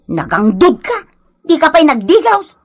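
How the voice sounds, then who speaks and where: High, animated scolding young wife. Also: female voice, measured pace, exaggerated voice. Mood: scolding, exasperated. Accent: Ilocano. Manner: exclaiming, dramatic. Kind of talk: Ilocano radio comedy drama acting.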